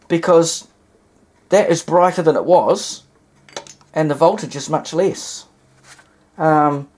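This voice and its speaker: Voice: medium pitch, a little mellow. A hobbyist with a New Zealand accent talks casually while demonstrating equipment, rambling and thinking aloud. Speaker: vintage radio hobbyist and presenter. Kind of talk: casual hands-on demonstration commentary. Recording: clearly noisy amateur home recording.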